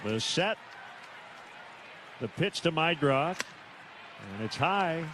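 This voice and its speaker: A man calling live baseball on the radio in clipped, measured phrases with pauses between plays. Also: a little high, animated, a crowd in the background. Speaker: baseball play-by-play announcer. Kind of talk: live radio play-by-play commentary. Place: stadium broadcast booth. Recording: noisy stadium radio broadcast.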